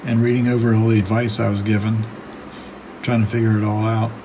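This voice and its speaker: A man with a gravelly voice narrating a casual hands-on video, hesitantly thinking aloud as he works. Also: on the low side, level tone, brisk, background noise. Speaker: hobbyist radio restorer narrating own video.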